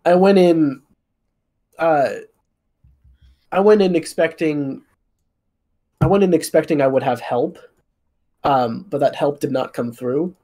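A man who is sick, speaking casually on a panel and haltingly searching for words. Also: a little high, warm tone. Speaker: music composer on a panel.

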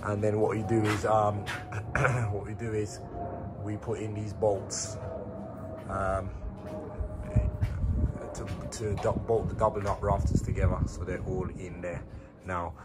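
A man in his thirties, hoarse from a sore throat, casually walking viewers through his building site and explaining the work step by step. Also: lowish voice, slow, loud music behind the voice, poor audio. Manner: relaxed, explains step by step with fillers. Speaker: builder vlogger. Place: unfinished building extension under a new roof, indoors. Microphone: phone held at arm's length.

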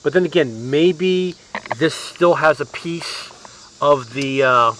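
A man's voice: on the high side, slow, fairly bright tone, a little hiss or hum, poor audio. A man narrating a casual do-it-yourself repair outdoors, thinking aloud with fillers and restarts, recorded on a head-mounted camera. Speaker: DIY mechanic. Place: outdoors in a yard. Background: crickets and insects.

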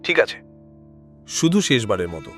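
A man's voice: on the low side, sing-song, normal speed, fairly bright tone. A man voicing a proud, guarded young man who speaks curtly and reluctantly in an audio drama. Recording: music under the voice.

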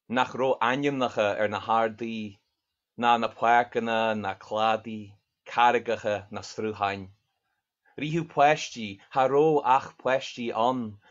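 A man's voice: mid-range voice, dull audio. A man. He is a poet reading his work and introducing it in an earnest, thoughtful way from a home study over a video call. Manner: earnest, thoughtful, reads verse slowly and deliberately. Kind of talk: poetry reading with spoken introductions. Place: home study lined with bookshelves, indoors. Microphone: video call webcam.

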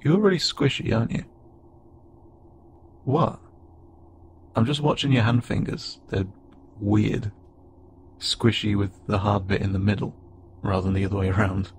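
A man playing an awkward, gentle insect-like alien pilot who speaks haltingly and unsure of himself, in an intimate audio roleplay. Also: on the low side, fairly even intonation. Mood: curious, softening. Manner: hesitant, halting, stammering and self-doubting. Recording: clearly noisy, with ambient sound bed.

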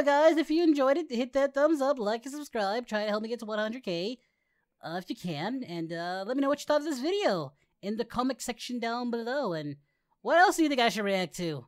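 A performer voicing an excitable, boyish cartoon anime hero who comments in exclamations and flustered repeats. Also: sits high, no room sound, clean studio sound. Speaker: host reacting to animations. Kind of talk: reaction video commentary in character.

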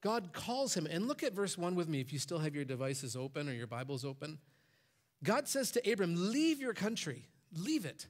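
A man in his forties, a pastor, preaching from a church stage into a headset mic. His voice sits a little high for a man, and it climbs higher and louder whenever he quotes a command. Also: sing-song, normal speed. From Michigan, USA. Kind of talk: sermon.

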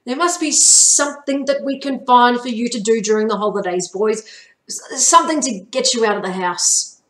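A woman's voice: a little high, moderate pace, distant mic, pitched above the performer's own voice, natural voice. Woman as exasperated, firm dad, echoey. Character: exasperated father of boys, brisk and firm. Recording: echoey home room.

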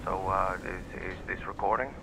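An actor playing an excitable expedition man on an old recording in a video game. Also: deep voice, normal speed, creaky, heavy background noise. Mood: doubtful.

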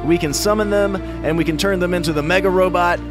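Young gator hero, played by a man, storybook, earnest and grave, higher male register, music underneath. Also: fast, lightly acted. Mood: eager. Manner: serious, self-important play-heroics.

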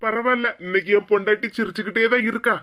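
A performer playing an easygoing, clueless young husband. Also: male voice, sits high, fairly even intonation, fast talker, a bit bright. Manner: mild, easygoing.

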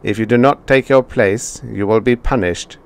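A man voicing a calm, unfeeling robot teacher who speaks in a flat metallic way. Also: fairly low pitch, moderate pace, vocal fry, clean tone. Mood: stern.

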